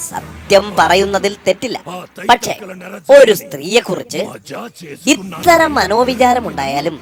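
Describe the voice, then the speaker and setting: Female entertainment presenter, scripted and playful, theatrical lively intonation, clear music bed behind. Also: mid-range voice, measured pace. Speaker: entertainment gossip show presenter. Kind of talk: scripted, playful celebrity gossip narration.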